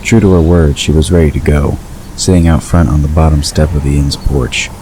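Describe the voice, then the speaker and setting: A man narrating a scripted story in a measured storytelling delivery. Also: rumbling low, fairly even intonation, dark tone, rough recording. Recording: clear background ambience noise.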